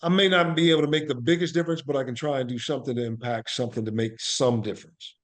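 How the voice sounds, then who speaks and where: A man with a medium-pitched voice speaks as an interview guest. He is reflective and earnest, with a motivational bent. He often repeats a phrase to give it emphasis. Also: steady tone, fast. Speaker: podcast guest, actor and playwright.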